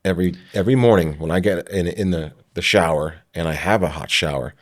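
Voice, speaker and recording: Low-voiced man, reflective interview host. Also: fast talker. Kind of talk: casual interview conversation.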